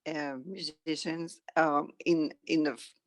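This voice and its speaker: A middle-aged woman from France with a French accent, reflecting conversationally as an interview guest in halting, thoughtful phrases. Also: low-pitched, little pitch movement, dry recording, studio quality.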